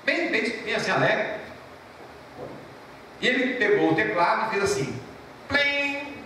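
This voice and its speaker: A Brazilian pastor preaches, his voice high and swinging widely as he stresses and repeats key phrases. He sounds distant from the mic, with heavy church echo and faint room hum behind him. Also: a little slow. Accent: Brazilian Portuguese. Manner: storytelling, animated rises and drops. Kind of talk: sermon. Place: church hall. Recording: echoey church from far off.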